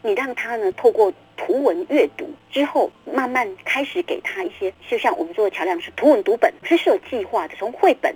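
A woman's voice: on the high side, animated, a little fast, hardly any pauses, a little mellow, husky, rough recording. A middle-aged woman with a Taiwanese Mandarin accent, explaining things emphatically as the guest author in a radio interview, repeating words and acting out questions. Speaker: guest, children's book author.

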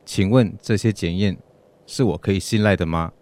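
A man's voice: deep voice, moderate pace, heavy background noise, lightly acted. A man acting out a worried listener's question about test results in a radio drama. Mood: anxious.

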